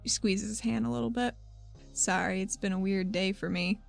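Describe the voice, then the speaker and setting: A woman improvising in-character dialogue as a player in a tabletop role-playing show, halting and confiding. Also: medium pitch, steady tone, vocal fry, dry recording. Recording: music bed behind the voice.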